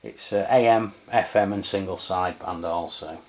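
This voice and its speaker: A middle-aged man with an English accent giving a casual product review, talking in a halting, conversational way. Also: low voice, a little mellow. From England. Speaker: radio review channel host.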